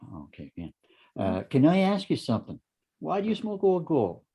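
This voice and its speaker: A man playing an inquisitive, smooth ad man in an amateur table read of a TV drama, conversational and probing. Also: on the high side, wildly swooping pitch, moderate pace, dark tone, heavily acted. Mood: curious, casual.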